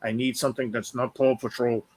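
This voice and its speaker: A man co-hosting a podcast in casual chat, often halting and repeating words as he goes. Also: medium pitch, little pitch movement, crowd noise. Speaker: podcast co-host. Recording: clearly noisy background.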